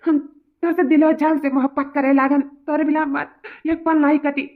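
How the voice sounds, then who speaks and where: A woman's voice: sits high, flat delivery, moderate pace. A woman voicing a melodramatic, wheedling young male lover in a cartoon comedy, repeating phrases with an eastern Uttar Pradesh accent. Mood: lamenting. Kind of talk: cartoon family comedy acting. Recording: tinny, phone-like narrow audio.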